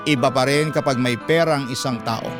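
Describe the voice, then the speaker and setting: A man's voice: mid-range voice, steady tone, a little fast, lightly acted. A Filipino man narrating a heartfelt first-person letter in classic radio drama style, earnest and dramatic. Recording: studio narration over clear music.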